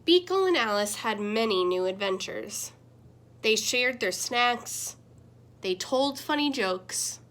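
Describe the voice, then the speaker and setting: Lady teacher doing a storybook read-aloud, lively sing-song voice, gentle. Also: slow. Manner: gentle storytelling with expressive swings in pitch and loudness. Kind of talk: picture book read-aloud.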